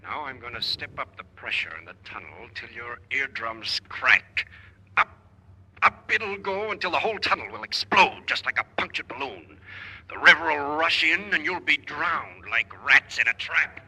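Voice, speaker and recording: A man's voice: mid-range voice, animated, normal speed, pushed and bright, husky, full character voice. An actor playing a menacing male villain who taunts his victims over a phone, in 1940s radio style. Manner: taunting, gloating. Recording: old radio broadcast with long reverb.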